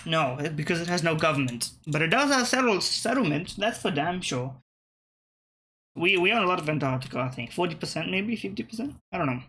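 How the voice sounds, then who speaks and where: A young man with a bright, slightly high voice, muttering and cursing his way through a geography guessing game. Faint keyboard typing sits behind his talk. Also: lively intonation, fairly quick, slight background noise. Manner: thinking aloud, profane, muttering and restarting. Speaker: streamer playing web games. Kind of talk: casual gameplay commentary.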